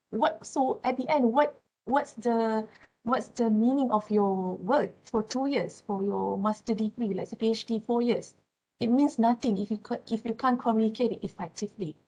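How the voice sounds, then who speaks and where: A woman's voice: fairly high pitch, mellow, rough recording. A woman with a Malaysian accent, Malay her first language, lectures students in English. She talks through her points with frequent "uh"s and keeps asking "right?" and "yeah?" to check that the class follows. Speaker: university lecturer.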